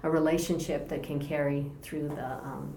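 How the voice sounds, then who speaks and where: A woman's voice: low voice, little pitch movement, moderate pace, slight background noise. Reflective woman in her sixties, echoey room. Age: 60s. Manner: gentle, reflective, laughs easily. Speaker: interview guest. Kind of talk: personal testimony in conversation.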